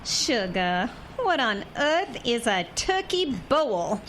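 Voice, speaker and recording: A woman's voice: on the high side, very animated, slow, fairly bright tone, a little hiss or hum, full character voice. A woman playing a sassy young Texan bride with a Southern accent and theatrical exclamations in an audio drama. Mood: curious, teasing. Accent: Southern US, Texas. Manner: drawling terms of endearment, theatrical exclamations. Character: sassy young Texan bride, easily appalled by northern life.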